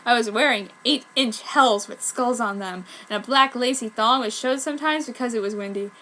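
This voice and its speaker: A woman's voice: fairly high pitch, normal speed, pushed and bright, a little hiss or hum. A woman reading fan fiction aloud as an amateur, with a theatrical, exaggerated delivery. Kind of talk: dramatic amateur story reading.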